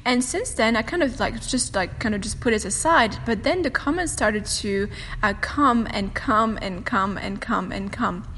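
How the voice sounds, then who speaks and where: Fast-talking French-native woman podcaster. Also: fairly high pitch, a bit bright. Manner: fast-talking, chatty, laughs often. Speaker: podcast host and meditation teacher. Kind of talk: casual solo podcast chat. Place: home room with a fireplace on a windy day. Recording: long room reverb, faint wind whoosh. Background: faint wind noise from the fireplace.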